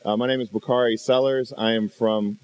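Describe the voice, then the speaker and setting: A man's voice: mid-range voice, fairly even intonation, a little fast, dull audio, a crowd in the background. A man from South Carolina and a former state legislator, introducing himself on a panel in an easygoing, joking way. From Denmark, South Carolina.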